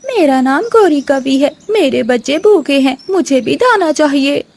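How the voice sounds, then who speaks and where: A woman's voice: high-pitched, lively intonation, a little slow, darkish tone. A woman voicing a lazy mother crow in a children's cartoon, whiny and pleading in a dramatic way. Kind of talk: Hindi children's cartoon voice acting.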